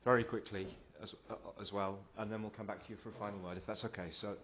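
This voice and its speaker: A man chairing the question session at a talk, polite and brisk as he manages the time. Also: fairly low pitch, fairly even intonation, a bit bright, a little hiss or hum. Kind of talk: moderating questions. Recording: muffled recording, voice far from mic.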